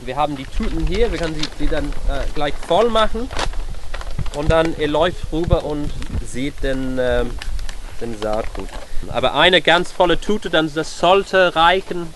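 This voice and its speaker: A man's voice: fairly high pitch, lively intonation, unhurried, fairly bright tone, slight background noise. A man from England, a native English speaker, talking informally as a farmer in German with an English accent and simple non-native phrasing. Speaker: farmer in charge of pigs and crops. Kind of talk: interview answers and informal instructions to a group.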